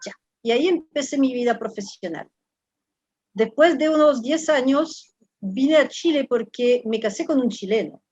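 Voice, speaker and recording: A woman's voice: high-pitched, animated, normal speed, a little mellow, husky, no room sound, dull audio. A woman from France in her fifties with a French accent, a professor talking as an interview guest. She speaks thoughtfully and halts often, repeating words while she searches for the next one. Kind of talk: reflective conversational interview.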